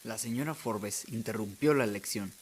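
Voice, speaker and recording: A man's voice: lowish voice, normal speed, a little hiss or hum. A man narrating a short story as a scripted radio drama, reading as the narrator.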